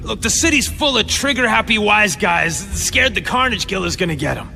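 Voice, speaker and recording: A man's voice: sits high, lively intonation, normal speed, vocal fry, sharp tone, in a large hall, faint music behind, exaggerated voice. A man voicing a cornered, jittery villain gang boss in a video game, blustering and stammering under pressure. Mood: resigned. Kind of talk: video game cutscene acting.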